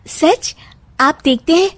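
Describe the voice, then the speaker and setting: A woman's voice: high voice, dry recording. A woman voicing a carefree, eager young daughter-in-law with a bubbly, polite way of talking. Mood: delighted.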